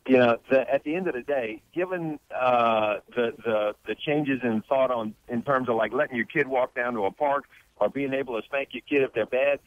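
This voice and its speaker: A man calling in to a radio show, giving casual opinions in a halting, thinking-aloud way over a phone line. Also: medium pitch, dry recording. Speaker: radio call-in listener.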